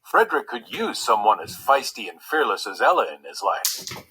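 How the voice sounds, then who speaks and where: A man reading a children's story aloud in an expressive narrating style. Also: lowish voice, normal speed, rough recording. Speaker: story reader.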